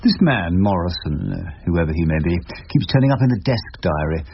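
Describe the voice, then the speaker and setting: Wry, low-voiced older English barrister. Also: male voice, animated, gravelly. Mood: probing. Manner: wry, literate, measured. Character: wry, eloquent ageing English criminal barrister. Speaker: lead actor and narrator. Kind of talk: radio drama dialogue and first-person narration. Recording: muffled, noisy lo-fi radio recording.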